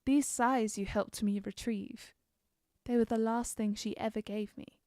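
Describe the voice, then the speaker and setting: A woman's voice: a little high, fairly even intonation, measured pace, darkish tone, close to an everyday voice. A woman playing a battle-hardened, guarded, bloodthirsty Nord warrior in a video game, confiding in dramatic dialogue. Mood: wistful. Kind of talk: scripted game character dialogue.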